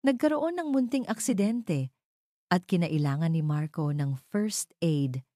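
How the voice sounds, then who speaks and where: A woman's voice: fairly low pitch, animated, measured pace, dark tone, slight background noise. A woman reading dramatic scripted narration for an audio drama.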